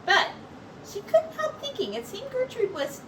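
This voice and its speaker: High-voiced woman reading a storybook aloud. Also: very animated, moderate pace, a bit bright. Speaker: teacher reading aloud to young children. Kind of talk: expressive picture book read-aloud. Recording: distant mic, faint background noise.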